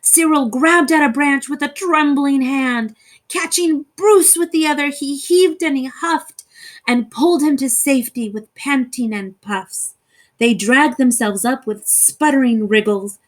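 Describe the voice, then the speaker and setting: A woman reading a rhyming children's story aloud in an expressive, theatrical way with deliberate phrasing. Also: sits high. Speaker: storybook reader.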